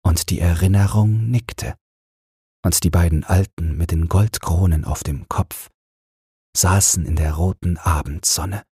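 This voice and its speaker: Bedtime audiobook narrator, male: slow, calm and soothing, in a close, dry recording. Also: low voice, breathy edge, no room sound.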